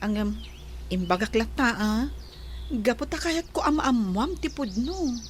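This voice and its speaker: A woman's voice: a little high, expressive, measured pace. A woman acting a concerned young woman friend who confides gossip, in an Ilocano radio drama with an Ilocano accent. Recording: faint noise behind the voice.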